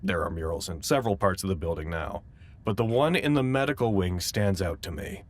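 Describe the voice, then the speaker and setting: A man playing a weary, reflective staff member of a secretive facility, narrating an audio drama in a measured, solemn way. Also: sits low, close to an everyday voice. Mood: somber, reflective.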